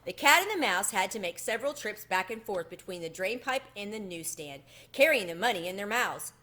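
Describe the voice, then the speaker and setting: A woman reading a children's novel aloud as an expressive storyteller. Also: mid-range voice, a little fast. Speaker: storybook reader.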